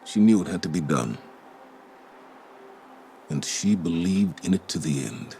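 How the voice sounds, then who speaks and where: A man voicing a stoic, mourning veteran commander in a game monologue, solemn, restrained and halting. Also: deep voice, expressive, creaky, warm tone, slight background noise, full character voice. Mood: restrained grief. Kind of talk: dramatic video game monologue.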